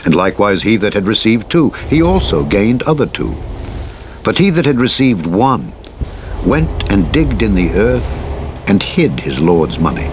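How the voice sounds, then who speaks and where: Low-voiced man reading scripture over engine noise. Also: big pitch swings. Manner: measured, formal, dramatic delivery of archaic English. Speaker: Bible narrator. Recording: played over clearly audible vehicle engine noise. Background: truck engine and road noise from a driving game.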